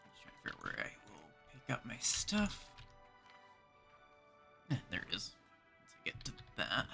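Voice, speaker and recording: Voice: low-pitched, huge pitch swings, moderate pace, vocal fry, sharp tone, soft background music. A streamer from Canada giving casual live video game commentary, chatting and thinking aloud in short remarks.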